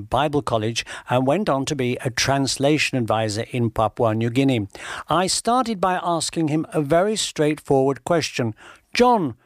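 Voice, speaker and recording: Measured male radio presenter, close and dry. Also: fairly high pitch, no room sound. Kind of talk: scripted presenting and interviewing. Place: studio.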